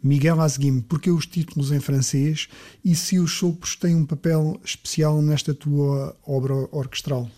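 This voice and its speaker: A man's voice: a little high, steady tone, measured pace, warm tone. A man from Portugal hosting a radio interview, giving formal introductions and asking prompting questions.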